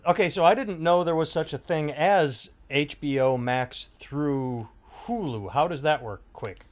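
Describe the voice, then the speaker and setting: A man's voice: fairly high pitch, measured pace. Male podcast host, middle-aged, casual, rambling, cussing, stammered restarts. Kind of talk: casual chat.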